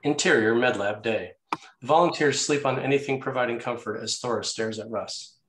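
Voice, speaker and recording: A man's voice: mid-range voice, fairly quick, background noise. A man reading stage directions as the narrator of a screenplay table read.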